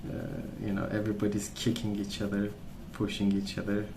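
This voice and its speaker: A man speaking softly as an ASMR host, rambling through stories in a hesitant, pausing, unhurried way. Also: fairly low pitch, monotone, gravelly, background noise. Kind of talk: soft-spoken ASMR rambling.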